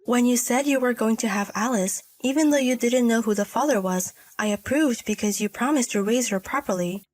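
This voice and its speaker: A woman's voice: a little high, a little fast, few pauses, a little mellow, close to an everyday voice. A woman voicing a stern older mother and grandmother in a story drama, scolding and firm. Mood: scolding, exasperated. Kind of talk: acted dialogue in a narrated drama story.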